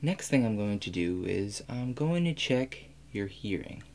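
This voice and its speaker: A man in his twenties speaking softly and calmly as a doctor in an ASMR role play, guiding the listener step by step. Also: lowish voice. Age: 20s. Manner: calm, measured, instructing the listener step by step. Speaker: role-player acting as an examining doctor. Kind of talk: soft-spoken ASMR medical role play. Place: small room indoors. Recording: clear steady background hum.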